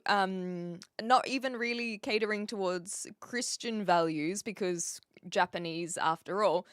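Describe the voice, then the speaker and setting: A woman's voice: mid-range voice, fairly bright tone, smooth, dry recording, studio quality. A young woman from New Zealand with a blended, British-leaning accent, chatting casually on a live stream and answering viewers' messages. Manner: chatty, rambling, laughs often, answers chat directly.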